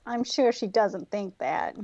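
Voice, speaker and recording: A woman's voice: medium pitch, takes it slowly, darkish tone, muffled recording. A woman playing a kind, loyal young woman, the nice friend in a story. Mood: gently reassuring.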